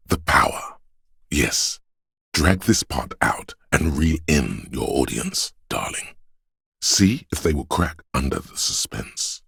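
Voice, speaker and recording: A man voicing a video game's inner voice of drama and deceit, grandiose, courtly and fawning, with a gravelly, husky delivery. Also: extremely low pitch, no echo, clean studio sound, exaggerated voice. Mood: grand, savouring. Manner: grandiose, mannered, courtly, obsequious. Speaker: video game voice actor. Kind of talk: theatrical game character narration.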